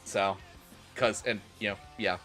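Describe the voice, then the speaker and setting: Brief: male streamer, forceful bright delivery, theatrical and joking, swears freely, guitar music underneath. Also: on the low side, steady tone, measured pace. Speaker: livestreamer and host. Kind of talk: dramatic reading of fan fiction with casual asides to chat.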